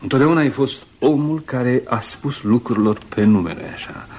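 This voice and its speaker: An actor in a radio play voicing a brisk, bossy friend, chatty, ironic and insistent, on a noisy old recording. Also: male voice, mid-range voice, darkish tone, natural voice. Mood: teasing. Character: brisk, teasing, bossy male friend of the doctor. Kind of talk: Romanian radio theatre acting.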